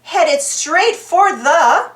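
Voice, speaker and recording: A woman reading a children's storybook aloud as narrator in an animated style. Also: extremely high pitch, drawn-out words, roomy sound.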